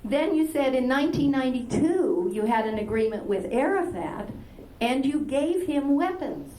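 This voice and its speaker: A woman's voice: high voice, expressive, moderate pace, mellow. A woman from Palestine in the audience of a university lecture, pressing the speaker with a long, persistent question full of fillers and restarts. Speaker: audience member asking a question. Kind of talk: question from the floor. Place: lecture hall. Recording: distant, roomy, noisy old lecture-hall tape.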